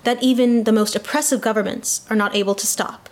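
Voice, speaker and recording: A woman's voice: fairly high pitch, fairly even intonation, fairly quick. A woman reading a quotation aloud as a guest reader.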